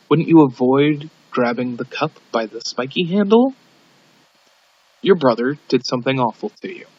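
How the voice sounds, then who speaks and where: A man reading a scripted audiobook in an amateur narration style, on a dull lo-fi recording. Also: medium pitch. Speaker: audiobook reader.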